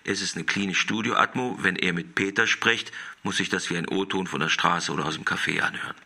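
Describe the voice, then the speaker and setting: An actor playing a matter-of-fact reader of stage directions in a radio play. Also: male voice, low-pitched, steady tone, brisk, gravelly, pushed and bright, husky, exaggerated voice. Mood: matter-of-fact, instructing. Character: dry male voice reading out the script's stage directions. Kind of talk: scripted radio play narration.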